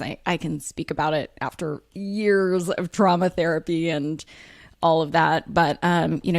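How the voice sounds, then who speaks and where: A woman, talking candidly as an interview guest, frank and self-deprecating with lots of fillers and quick laughs. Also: low voice, vocal fry, darkish tone, no room sound, studio quality. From United States. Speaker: author and guest.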